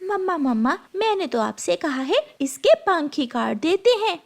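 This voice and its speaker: Woman doing a high, spiteful tattletale bird girl. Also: very animated, a little slow, full character voice.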